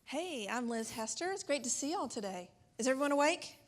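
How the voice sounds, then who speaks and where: A woman's voice: high voice, expressive, fairly quick. A middle-aged woman from Texas introducing herself chattily as a guest speaker on stage. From Texas, United States. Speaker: guest speaker, internet marketer. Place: stage at a filmed live event.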